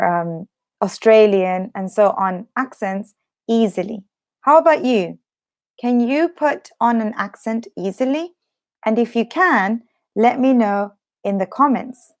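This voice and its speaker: A young British woman with a mid-range voice teaching a live English lesson, unhurried and chatty. She repeats each phrase so learners can follow and fills her pauses with "um". A slight cough lingers in her voice. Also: mid-range voice. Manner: chatty, explains slowly with repetition and many fillers.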